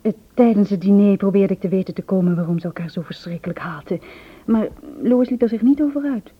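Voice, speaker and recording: A woman's voice: mid-range voice, quick pace, husky, lightly acted. An actress playing a nervous, secretive young married woman who confides in a hesitant, earnest way in a radio drama. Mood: grave, urgent. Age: 20s. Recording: muffled old radio play recording.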